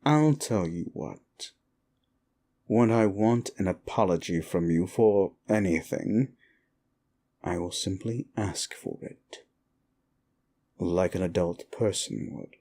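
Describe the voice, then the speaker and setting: Man voicing a low, slow, soothing demon. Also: dark tone, slight background noise. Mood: dry, teasing menace. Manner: slow, deliberate, dryly menacing yet soothing. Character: male demon, sinister soul-eater grudgingly soothing a human. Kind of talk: comfort ASMR roleplay, intimate address to the listener.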